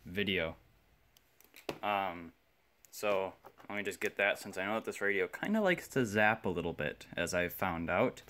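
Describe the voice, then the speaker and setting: A man's voice: sits low, fairly bright tone. A man giving casual repair commentary as a hobbyist, rambling and self-deprecating as he thinks aloud. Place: indoor workshop bench.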